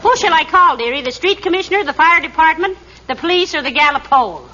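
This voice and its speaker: An actress playing a sensible, patient wife who fusses over and gently scolds her husband in a 1940s radio comedy. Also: very high-pitched, lively intonation, fast talker, heavily acted. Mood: chiding, concerned. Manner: chiding, fussing, affectionate. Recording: noisy, dull 1940s radio recording.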